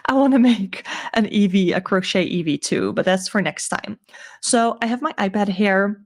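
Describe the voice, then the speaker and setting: A woman with a croaky voice giving a casual, chatty recap as a vlog host, informal and a little hesitant as she thinks aloud. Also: medium pitch, moderate pace. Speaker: crochet seller and video host.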